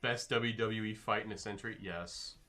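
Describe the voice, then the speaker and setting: A man's voice: on the low side, steady tone, moderate pace, bright, forceful tone, faint music behind. A man streaming live, casually reading and answering his chat with loose, joking replies.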